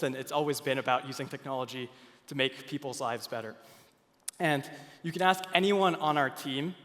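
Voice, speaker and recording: A young man from Finland speaks on a conference stage at a middle pitch. He is earnest and stumbles into fillers and restarts often. A long reverb trails his voice through the large hall. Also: a bit bright.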